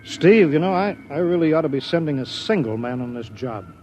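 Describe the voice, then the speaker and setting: A man's voice: on the high side, expressive, fairly quick, a little mellow, a little hiss or hum, lightly acted. An American man playing an anxious, protective flight control chief in a 1950s radio drama, worried yet commanding. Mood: hesitant, worried. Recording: dull, band-limited 1950s radio recording.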